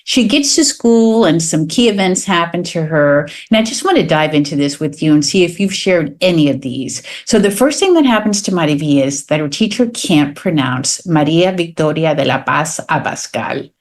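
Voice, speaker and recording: A woman hosting a podcast, asking measured, probing questions about her guest's experience. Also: low-pitched, lively intonation. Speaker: podcast host. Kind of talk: interview questions.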